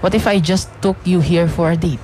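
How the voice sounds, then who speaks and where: A woman's voice: on the low side, fairly even intonation, moderate pace, dark tone, slight background noise, lightly acted, poor audio. Woman playing a cocky young Filipino college guy. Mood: breezy, teasing. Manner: nonchalant, defensive, mixes Tagalog and English. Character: cocky, laid-back rich young male college student. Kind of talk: radio drama acting. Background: faint music.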